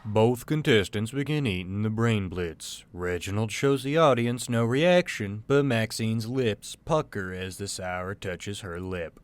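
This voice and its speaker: Low-voiced male narrator. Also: lively intonation, unhurried, hardly any pauses, a little hiss or hum. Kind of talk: scripted narration of audio drama scenes.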